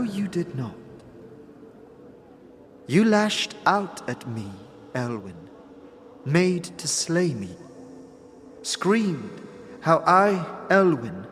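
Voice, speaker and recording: A man acts out an ancient otherworldly woman from a fantasy drama, wistful and formal. The voice sits fairly high and moves very slowly, halting with guarded dignity, over audible background noise. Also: full character voice. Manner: stately, halting, formal, interjecting own name. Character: long-lived mysterious female being, formal, wistful, guarded. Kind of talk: fantasy game audio drama acting.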